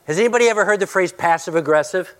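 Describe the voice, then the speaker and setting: A male pastor preaching a sermon and praying, with a loud, forceful voice, emphatic when preaching and hushed in prayer. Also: high-pitched, rapid-fire, a little hiss or hum.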